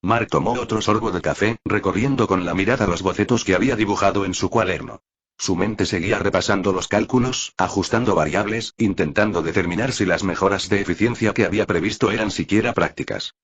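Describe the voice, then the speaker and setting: A man's voice: deep voice, brisk, husky. A man reading scripted fiction aloud as an audiobook-style narrator.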